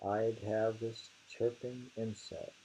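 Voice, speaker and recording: Male poetry reader, unhurried and dark-toned, casual commentary between verses, musing and halting. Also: lowish voice, flat delivery, a little hiss or hum, poor audio. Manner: halting, musing, repeats phrases. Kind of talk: reading poems aloud with casual commentary.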